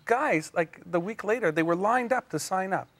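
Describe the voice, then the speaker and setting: Higher-voiced man on a panel. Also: animated, moderate pace, a bit bright. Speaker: talk show panelist. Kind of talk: casual debate.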